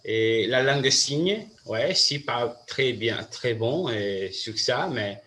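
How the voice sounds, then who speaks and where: Slow, halting Brazilian man. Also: mid-range voice, lively intonation, sharp tone, slight background noise. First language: Portuguese. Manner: halting, many restarts and fillers. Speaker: host. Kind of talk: casual chat in a learned language.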